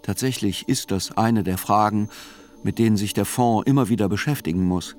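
Man acting a sober court chronicler, low voice, measured radio play narration, faint music underneath. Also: darkish tone, lightly acted.

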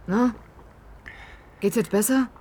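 Blunt, chatty vendor. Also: female voice, fairly high pitch, takes it slowly, mellow, slight background noise. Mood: blunt, offhand. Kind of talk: German radio crime drama acting.

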